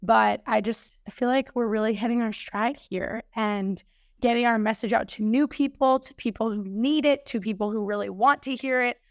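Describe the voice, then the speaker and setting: A woman hosting a fitness podcast, slightly hoarse, chatting upbeat about coming news. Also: on the high side, moderate pace, no room sound, clean studio sound. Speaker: podcast host and fitness coach. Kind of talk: casual solo podcast intro.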